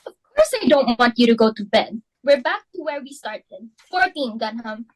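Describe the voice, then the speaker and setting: A fussy, fretful society hostess, read aloud by a woman in a student dramatic reading. Her voice is high and leaps all over the place as she frets and exclaims. The sound is dry and close. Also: lively intonation, moderate pace, no room sound, low-quality recording. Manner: theatrical, exclaiming, fretful, melodramatic swings. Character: fussy society hostess, Mrs. Pringle, middle-aged woman. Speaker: student reader.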